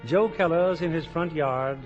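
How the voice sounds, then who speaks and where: A man's voice: a little high, measured pace, lo-fi sound. An American man presenting and narrating a scripted 1950s radio show in a formal, declamatory manner. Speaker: radio show host and producer. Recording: old radio broadcast with music.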